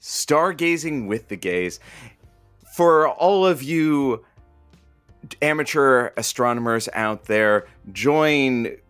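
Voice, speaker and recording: A man playing a wry, put-upon but fond radio station engineer in an audio drama, with a deadpan, sardonic manner. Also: mid-range voice, slow, clear, resonant tone, faint music behind. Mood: smooth announcing. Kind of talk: audio fiction acting, radio announcing.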